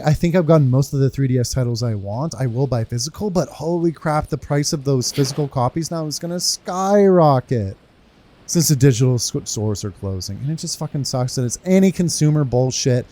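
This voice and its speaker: A man's voice: a little high, a little mellow. A man giving casual, slangy playthrough commentary with some swearing, as host of a gaming video.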